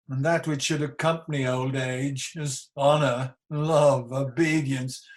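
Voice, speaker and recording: A man's voice: mid-range voice, natural voice. An amateur actor playing an ageing, embattled tyrant king in a Shakespearean radio play, declamatory, defiant and world-weary. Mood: weary, bitter. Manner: declamatory, slow, theatrical.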